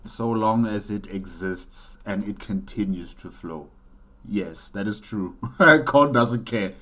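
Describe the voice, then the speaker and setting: A man's voice: fairly low pitch, slow pace, a bit bright. A man hosting a reaction video with casual, rambling commentary, musingly repeating his phrases.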